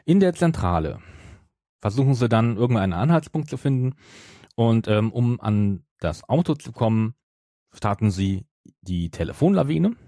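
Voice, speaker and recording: A man's voice: medium pitch, very animated, normal speed, dark tone, no echo, studio quality. A middle-aged man in casual podcast talk, often hesitating and correcting himself as he retells things. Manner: hesitant, self-correcting, many broken-off restarts. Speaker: podcast co-host.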